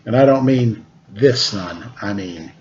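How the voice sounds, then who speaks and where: A man's voice: fairly low pitch, darkish tone, dull audio, a little hiss or hum. A man reading a picture book aloud for story time, playfully and without hurry, stopping for casual asides about the pictures. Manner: playful, unhurried, pausing to comment on pictures.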